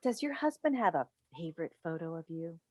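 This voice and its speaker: A woman acting a smooth, probing television talk show host with pointed questions, in a staged play reading on a video call. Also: sits low, very animated, a little fast, darkish tone. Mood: polite, pointed.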